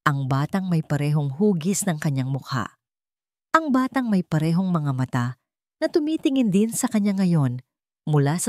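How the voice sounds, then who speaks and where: A woman with a Filipino accent narrating a scripted dramatic story in a measured, solemn way. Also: sits low, a little mellow, no room sound, clean studio sound.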